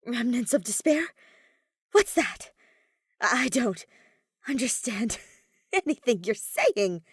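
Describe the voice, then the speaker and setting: A woman's voice: fairly high pitch, big pitch swings, takes it slowly, husky, no room sound, clean studio sound, voice pushed up, heavily acted. A woman voicing a taunting young male schemer in a theatrical, mocking manner. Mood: confused, shaky.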